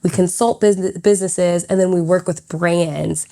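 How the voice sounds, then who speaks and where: A woman with a raspy, hoarse voice from a cold, chatting casually and laughing as co-host of a vlog. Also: lowish voice, fairly even intonation, moderate pace, mellow. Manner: chatty and laughing, many "like" fillers. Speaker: content creator, co-host. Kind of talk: casual chat.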